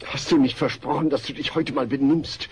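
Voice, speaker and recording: A man's voice: mid-range voice, steady tone, a little fast, darkish tone, slight background noise, close to an everyday voice. An actor playing a fussy, earnest suburban father in a sitcom audio drama, easily flustered and hesitant. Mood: exasperated, stern.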